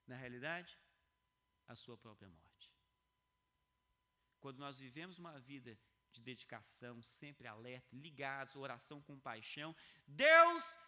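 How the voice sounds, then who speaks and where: Bright-voiced, high-pitched preaching man. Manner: earnest preaching with wide swings between soft low passages and loud high emphasis; repeats key phrases for emphasis. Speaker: pastor. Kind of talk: video sermon.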